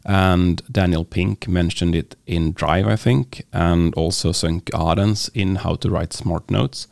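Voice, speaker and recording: A man's voice: sits low, takes it slowly, creaky, a little mellow, no room sound, studio quality. A man with a Swedish accent, hosting a podcast and talking casually about books, hesitant with many fillers and restarts. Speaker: podcast host. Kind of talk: casual book talk.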